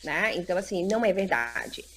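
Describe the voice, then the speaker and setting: Lively chatty Brazilian woman. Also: lowish voice, fairly quick, a little hiss or hum. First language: Portuguese. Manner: chatty, lively, lots of fillers like "então, assim". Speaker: career consultant and psychologist. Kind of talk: casual live interview.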